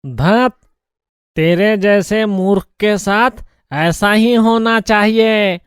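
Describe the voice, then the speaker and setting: A man voicing a shrewd elder-brother ghost in a cartoon story, chiding in an incredulous, drawn-out manner. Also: high voice, smooth, no room sound, clean studio sound. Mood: scolding. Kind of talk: Hindi cartoon story acting.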